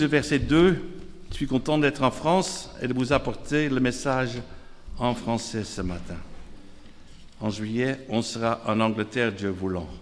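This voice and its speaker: A man with a Dutch accent, preaching a sermon, reading scripture and repeating phrases in lists while calling out to the congregation. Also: mid-range voice. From Netherlands. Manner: reads scripture aloud in quotes; calls out to the congregation. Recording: long hall reverb.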